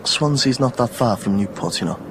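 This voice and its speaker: A man's voice: medium pitch, expressive, brisk, natural voice. An actor playing an awkward, smitten Welsh teenage village boy in a naturalistic radio drama. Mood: sheepish, bantering. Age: young adult. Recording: noisy outdoor ambience.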